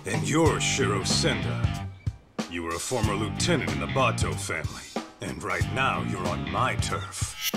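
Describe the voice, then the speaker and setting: An actor playing a tough, confident street detective in a video game, blunt and wry. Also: male voice, on the low side, expressive, measured pace, creaky, loud music behind the voice. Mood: menacing, wry. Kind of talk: video game cutscene acting, English dub.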